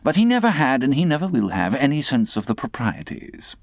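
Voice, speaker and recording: Man playing a pompous gentleman, swooping pitch. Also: sing-song, fairly quick, creaky, no room sound. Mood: smug. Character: smug, languid gentleman, sanctimonious about propriety.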